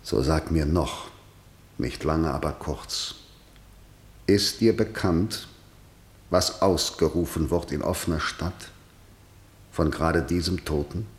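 A male actor playing a stern, imperious king, declaiming deliberately in a verse radio drama. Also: rumbling low, roomy sound. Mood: stern questioning. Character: stern, imperious king interrogating a defiant prisoner.

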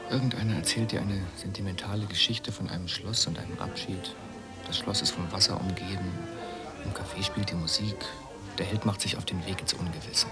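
An actor in a radio drama playing a skeptical, needling man who speaks in a measured, ironic way. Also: low-pitched, fairly even intonation, loud music behind the voice.

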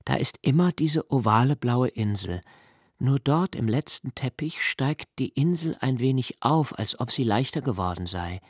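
An actor playing a young nobleman who narrates a literary radio play in a slow, hushed, reflective way. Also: mid-range voice, animated, husky, dry recording, studio quality. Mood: musing. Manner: slow, hushed and reflective, dropping to near whispers. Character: young nobleman Malte, brooding poetic narrator.

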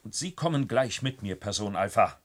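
An actor playing a stern, reprimanding control engineer in a science fiction radio drama. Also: male voice, on the low side, expressive, moderate pace, lightly acted. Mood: stern, commanding. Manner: bossy, reprimanding, official. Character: stern male control engineer scolding subordinates in dystopia.

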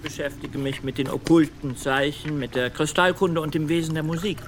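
A man plays an eager Jesuit scholar in a radio play who jumps in crisply with whatever word his companion is missing. His voice sits a little high for a man, with faint room noise behind it. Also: level tone, normal speed, lightly acted. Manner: crisp, supplies missing words. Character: eager scholarly Jesuit assistant, quick to prompt.

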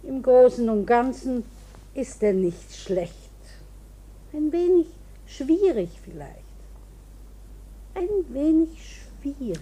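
A gossipy older landlady in a radio drama. Her voice sits on the high side, and she speaks in a homely, apologetic way, deferential and simple, sometimes searching for her words. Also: sing-song, slow pace, long pauses, warm tone, a little hiss or hum, heavily acted. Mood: self-justifying. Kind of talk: radio play acting.

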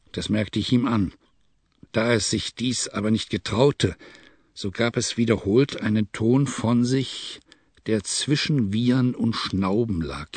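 Low-voiced male adventure story narrator. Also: no echo. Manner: measured, storytelling. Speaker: audiobook narrator.

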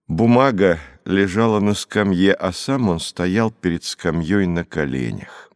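Deep-voiced man narrating an audiobook. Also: clear, resonant tone, no room sound, studio quality. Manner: measured, expressive storytelling. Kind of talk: scripted literary narration. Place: dry studio.

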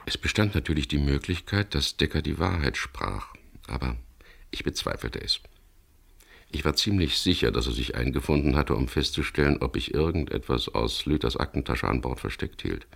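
Dry, commanding skipper-narrator, very low creaky voice, 1970s radio crime play. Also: male voice, animated, normal speed, fairly bright tone, exaggerated voice. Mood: reflective narration. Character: wry, self-assured male boat skipper, crime-story narrator. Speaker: lead actor and first-person narrator. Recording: noisy old radio drama recording.

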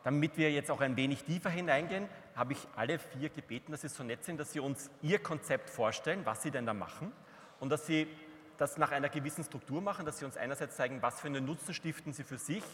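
A man's voice: fairly high pitch, fast talker, a bit bright. A man moderating a workshop panel in a conference hall, prompting the panelists informally. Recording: long hall reverb, faint noise.

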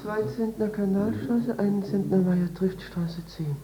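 A woman's voice: mid-range voice, a little slow, crowd noise, lightly acted. A woman playing a deranged inmate chanting like a street seller in an echoey 1950s German radio drama. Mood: obsessive, singsong. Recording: echoey, dull old recording.

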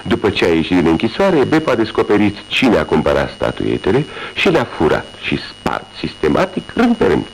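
Brief: a calm, wry male detective, very low voice with lively melody, faint hum under the radio drama take. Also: gravelly, full character voice, low-quality recording. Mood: explaining. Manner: measured, explaining deductions step by step. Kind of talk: 1980s radio drama acting.

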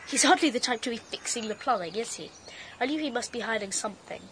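A woman's voice: a little high, expressive, quick pace, fairly bright tone, a little hiss or hum. An actress playing a precocious, superior young British girl who fancies herself a detective, in a radio drama. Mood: smug, superior. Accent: British English. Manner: bossy, superior.

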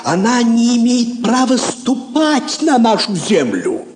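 An actor playing a flustered, servile royal adviser in a classic radio drama, anxious, formal and obsequious. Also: male voice, extremely high pitch, wildly swooping pitch, slow pace, a little mellow, heavily acted. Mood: shocked. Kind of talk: classic Russian stage radio drama acting. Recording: noisy, echoey old theatre recording.

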